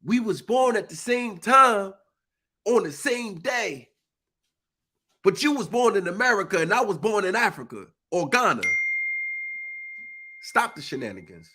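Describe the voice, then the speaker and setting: A man's voice: high-pitched, very animated, a little slow, long pauses, bright, forceful tone, slightly rough. A man on a live stream, stuffy and congested, preaching emphatically in informal speech. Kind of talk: impassioned live monologue.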